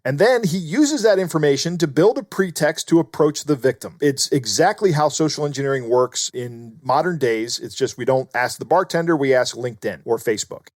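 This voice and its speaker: A man's voice: a little high, a little fast, hardly any pauses, no room sound, clean studio sound. A man co-hosting a podcast, explaining things casually. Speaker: podcast co-host.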